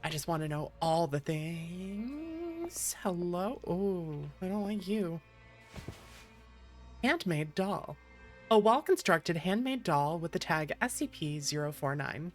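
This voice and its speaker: A woman's voice: on the low side, animated, slow pace, faint music behind. A woman from the American Midwest giving chatty, casual gameplay commentary, reacting aloud as she plays. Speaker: game streamer.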